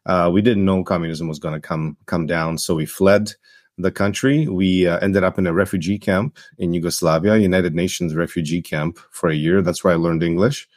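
A middle-aged man from Czechoslovakia, a physician, giving an interview answer in a halting way with many fillers and restarts. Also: low-pitched, darkish tone, no room sound, clean studio sound. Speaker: guest physician. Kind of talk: interview answer, recounting background.

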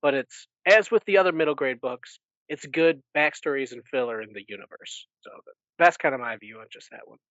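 A man's voice: mid-range voice, a little fast, fairly bright tone, dry recording, dull audio. A man co-hosting a podcast, chatting casually through a book recap in a rambling way and often correcting himself. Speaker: podcast co-host.

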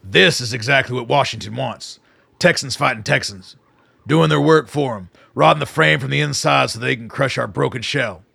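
A gravelly, hard-edged army colonel. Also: male voice, medium pitch, normal speed, bright, forceful tone, no echo, heavily acted. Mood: stern, commanding. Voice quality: gravelly, raspy. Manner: blunt, clipped military orders. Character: weary, foul-mouthed army colonel, commanding and stubborn. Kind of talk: radio drama acting.

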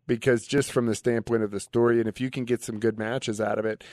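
A man with an average-pitched voice talks sports as a radio co-host. His delivery is casual and opinionated, and the sound is very dry, with no room to it. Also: flat delivery, fast, darkish tone, no room sound.

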